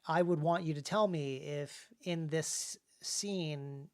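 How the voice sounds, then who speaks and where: Halting man in casual podcast discussion. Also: on the high side. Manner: halting, repeats words as he thinks. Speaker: podcast host and author. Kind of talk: casual discussion of writing craft.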